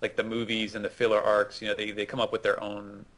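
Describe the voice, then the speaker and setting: A man co-hosting casual gameplay commentary, explaining things in a measured way. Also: lowish voice, completely flat delivery, a bit bright, rough recording. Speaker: co-host.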